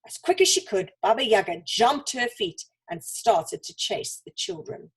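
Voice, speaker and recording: A woman reads a children's story expressively, with dramatic pauses and deliberate emphasis. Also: a little high, sing-song, breathy edge, low-quality recording.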